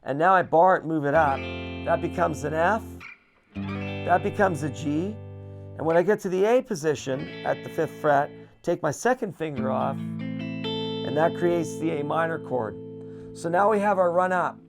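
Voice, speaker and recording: Man, low gravelly voice, relaxed chatty guitar teacher, soft guitar underneath. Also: normal speed. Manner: relaxed and chatty, with many fillers. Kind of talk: casual guitar lesson.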